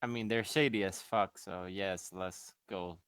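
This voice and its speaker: Smooth, low-voiced man, close and dry. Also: steady tone, unhurried, fairly bright tone, no echo. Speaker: tabletop game player. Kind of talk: casual game-table chat.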